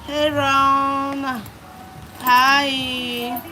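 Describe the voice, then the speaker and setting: A woman's voice: high-pitched, level tone, extremely slow. A young adult woman with a Nigerian accent, live streaming and chatting with viewers, greeting them by name and repeating her phrases. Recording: loud background noise.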